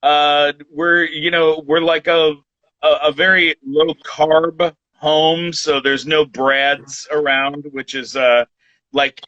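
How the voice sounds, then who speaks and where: A man in his forties, a podcast guest chatting casually, rambling and halting with many restarts. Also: fairly high pitch, little pitch movement, sharp tone, no echo. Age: 40s. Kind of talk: casual chat. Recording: dull lo-fi sound.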